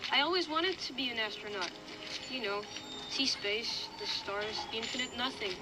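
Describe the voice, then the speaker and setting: Woman playing a restless, high-voiced child survivor. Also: normal speed, loud music behind the voice, natural voice, poor audio. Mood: dreamy, restless. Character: restless, dreamy child survivor, defiant friend. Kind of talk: scripted video game dialogue.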